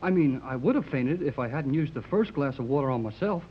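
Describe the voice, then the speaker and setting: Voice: mid-range voice, animated, a little fast, close to an everyday voice. An actor playing an eager, loyal teenage boy adventurer in a 1930s radio drama, with slangy enthusiasm. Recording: muffled, noisy 1930s radio recording.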